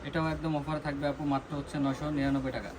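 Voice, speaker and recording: A man with a Bangladeshi accent giving an informal live sales pitch as co-presenter, in short, repetitive announcements. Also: little pitch movement, very fast, background noise.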